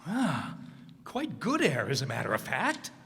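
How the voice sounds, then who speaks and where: Space correspondent, man acting: self-important, fussy, high bright voice, radio drama played on a reverberant stage. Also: huge pitch swings, measured pace, gravelly, full character voice. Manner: pompous, fussy, announcer-like delivery. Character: pompous, excitable male radio correspondent on a space expedition. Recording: reverberant hall stage recording.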